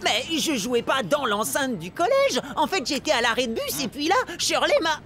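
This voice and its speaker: A young adult actor in a French cartoon dub playing an excitable, cheeky, talkative schoolboy amoeba with slangy, exaggerated delivery. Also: high-pitched, normal speed, exaggerated voice. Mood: indignant, chatty. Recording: loud music under the voice.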